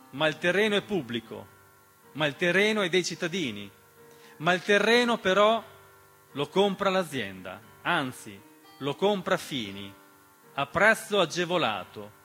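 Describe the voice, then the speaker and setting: A man's voice: a little high, pushed and bright. A man delivering an emphatic, dramatic theatrical monologue as narrator, with rhetorical questions and refrains. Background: piano accompaniment.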